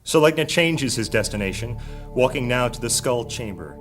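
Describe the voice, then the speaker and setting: A man narrating a fantasy audio drama in a solemn, measured, scripted storytelling style. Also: mid-range voice, animated. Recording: loud music bed behind.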